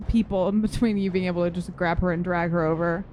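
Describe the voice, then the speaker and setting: A woman's voice: on the low side, steady tone, fast, a little mellow. A woman chatting casually as a player in a tabletop role-playing game.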